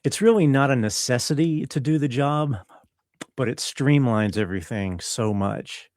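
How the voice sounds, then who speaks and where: A man speaking as an interview guest, explaining his work in a casual, laid-back, slightly halting way. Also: medium pitch, lively intonation, darkish tone, no room sound, clean studio sound. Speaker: interview guest, film composer.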